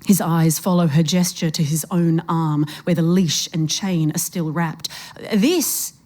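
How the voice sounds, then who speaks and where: A woman's voice: deep voice, little pitch movement, unhurried, darkish tone. A woman narrating a suspenseful short story in a literary reading style.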